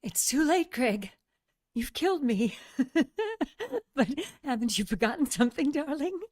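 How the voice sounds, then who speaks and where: A woman's voice: high-pitched, animated, measured pace, a little mellow, no echo, clean studio sound. A woman playing a taunting, deathless witch in a vintage radio horror drama, mocking and theatrical as she dies. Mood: taunting, triumphant.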